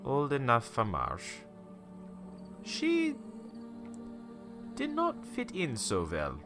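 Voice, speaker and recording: Old small-town shopkeeper, radio play, bitter and ominous, widely swooping delivery, halting, faint music behind. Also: male voice, medium pitch, huge pitch swings, exaggerated voice. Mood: ominous, grave. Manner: halting, theatrical storytelling with rhetorical questions. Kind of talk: dramatic radio play monologue.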